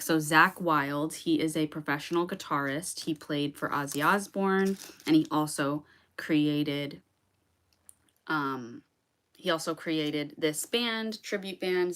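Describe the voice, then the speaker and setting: A woman's voice: sits low, a bit bright. A woman singer-songwriter chatting casually with viewers during a livestream, rambling with lots of "um"s and restarts.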